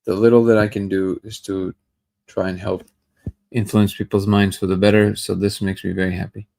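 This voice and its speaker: A man's voice: fairly low pitch, little pitch movement, normal speed, gravelly, warm tone. A man hosting a daily livestream, chatting casually and greeting viewers by name. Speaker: livestream host. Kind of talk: casual live chat reading comments.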